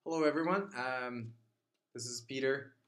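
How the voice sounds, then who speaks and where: A man's voice: mid-range voice, moderate pace, a bit bright. A man interviewing a friend in casual chat, asking loose questions.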